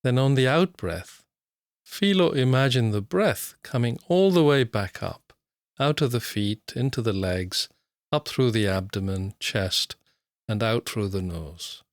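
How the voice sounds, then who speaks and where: A man guiding a body scan meditation, speaking slowly and calmly with long pauses. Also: medium pitch, no echo, clean studio sound. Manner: slow, calm, soothing, with long pauses. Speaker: mindfulness teacher.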